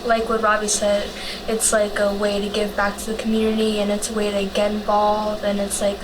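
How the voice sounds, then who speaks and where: Casting: teenage female, mid-pitched, even casual delivery, recorded at a distance in a noisy room. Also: moderate pace. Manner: casual, frequent "like". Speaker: youth volunteer organizer. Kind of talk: casual panel talk.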